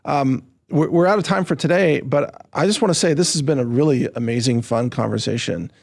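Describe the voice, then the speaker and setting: A man's voice: mid-range voice, a little fast, vocal fry. A man hosting an interview, talking in a humble, earnest way and laughing easily. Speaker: podcast host. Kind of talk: casual interview chat.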